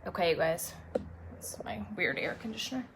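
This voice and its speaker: A woman presenting traffic and news live from her apartment dining room on a phone, chatty off air and brisk on air, a little sniffly. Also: lowish voice, animated. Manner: chatty and self-deprecating off air, brisk and upbeat on air. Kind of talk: casual live stream chat and on-air traffic report.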